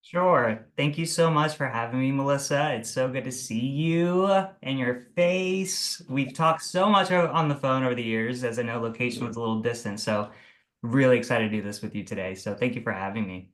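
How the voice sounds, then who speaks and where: A man's voice: medium pitch, normal speed, fairly bright tone. A man in his thirties from Italy, a real estate agent telling his life story in a casual video call interview, rambling and laughing as he goes. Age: 30s. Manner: rambling, self-correcting, laughs often.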